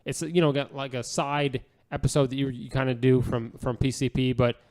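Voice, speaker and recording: A man's voice: medium pitch, level tone, moderate pace, darkish tone. A man co-hosting a podcast, chatting casually and enthusiastically in a rambling, gushing way that repeats phrases. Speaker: podcast co-host.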